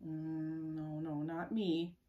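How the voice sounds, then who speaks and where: A woman's voice: sits low, flat delivery, slow, dark tone, muffled recording. A woman voicing a reluctant young boy in a picture book, with a hesitant, plain childlike manner. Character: reluctant young boy cousin, new to snow.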